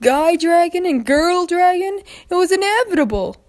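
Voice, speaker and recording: A woman's voice: very high-pitched, animated, normal speed, voice pushed up. A woman voicing a young male dragon, resigned and matter-of-fact, in a cartoon fan story reading.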